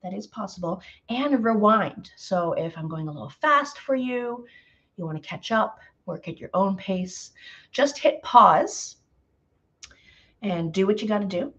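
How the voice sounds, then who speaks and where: Woman, slightly hoarse, painting instructor, chatty live stream, medium pitch, muffled audio. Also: animated, measured pace, fairly bright tone. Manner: chatty, greets viewers by name, frequent fillers. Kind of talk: live painting tutorial chat.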